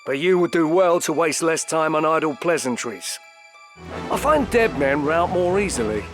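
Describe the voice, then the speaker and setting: An actor playing a cynical veteran mercenary in a fantasy game, sardonic and menacing in archaic speech, with music behind. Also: male voice, on the high side, animated, moderate pace. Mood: sardonic contempt. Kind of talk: dramatic fantasy game voice acting. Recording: game clip under loud music.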